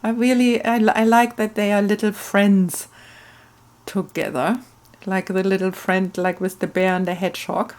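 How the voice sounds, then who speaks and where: A woman hosting a craft video, talking in a musing, unhurried storytelling way. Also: medium pitch, level tone, a little mellow. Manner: musing, hesitant, thinking aloud. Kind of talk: casual craft narration and slow storytelling.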